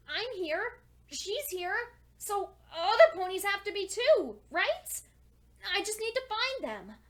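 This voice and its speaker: A woman voicing a lonely, studious female pony who clings to hope, reading her diary entries in an earnest, deliberate, dramatic fan fiction style. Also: very high voice, fairly bright tone, heavily acted. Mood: anxious hope.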